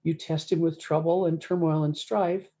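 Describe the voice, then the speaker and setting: A woman's voice: sits low, fairly even intonation, moderate pace, mellow, dull audio, natural voice. A woman voicing a commanding, confident cowboy boss from a storybook, speaking in bold folksy phrases. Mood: bold, accepting.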